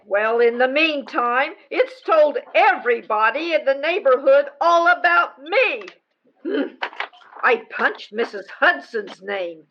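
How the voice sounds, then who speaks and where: Woman playing a high-pitched, nagging wife. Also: lively intonation, takes it slowly, lo-fi sound. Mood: scandalized. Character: indignant, nagging wife, scandalized and threatening. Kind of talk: radio comedy acting.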